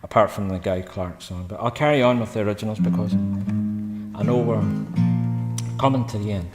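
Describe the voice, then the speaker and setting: Chatty Scottish man in a reverberant church. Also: lowish voice. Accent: Scottish, Paisley. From Scotland. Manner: chatty storytelling, brisk and rambling. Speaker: singer-songwriter performing a concert. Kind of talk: casual spoken introductions between songs. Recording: long church reverb with guitar.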